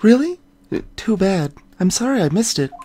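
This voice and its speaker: A woman voicing a perky, curious, excitable young female legal assistant in a video game. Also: mid-range voice, moderate pace, slight background noise, close to an everyday voice. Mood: casual, curious. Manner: excitable, wide-eyed questioning. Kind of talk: fan voiced visual novel game dub.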